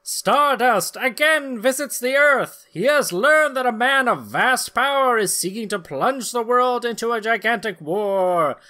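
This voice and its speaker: A man's voice: very high-pitched, lively intonation, a little slow, few pauses, sharp tone, pitched above the performer's own voice, full character voice. A man playing an earnest, dramatic narrator of a pulp comic-book adventure. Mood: grave, dramatic.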